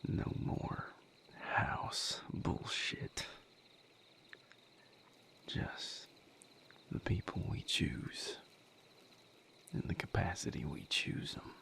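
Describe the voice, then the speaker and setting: A man acting as a gentle vampire healer in an intimate audio roleplay. His low voice is heavy with fry and often falls to a breathy whisper. He talks slowly and haltingly, wry, a little weary and thoughtful. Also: animated, a little hiss or hum, full character voice. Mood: tender, relieved. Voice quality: breathy, gravelly near-whisper. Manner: halting, reflective, casually profane.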